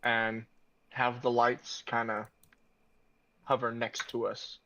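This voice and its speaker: A man's voice: medium pitch, completely flat delivery, slow pace, bright, forceful tone. A man talking casually as a player in an online tabletop game, on a lo-fi microphone. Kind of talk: casual chat. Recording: dull, dry lo-fi microphone.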